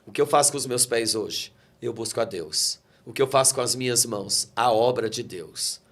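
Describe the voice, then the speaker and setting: A man's voice: mid-range voice, normal speed, a bit bright. A man, a guest pastor giving an emotional testimony and quoting scripture with preacherly fervor. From Brazil. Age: 40s. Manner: fervent, preacherly, quotes scripture. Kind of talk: emotional testimony in a talk interview.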